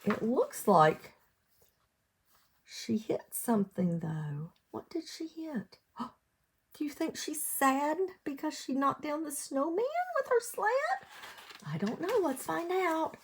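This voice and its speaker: An American woman reading a storybook aloud to young children as a teacher would, in an expressive sing-song way, asking them questions. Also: high-pitched, slow, slight background noise. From United States, lived in West Virginia.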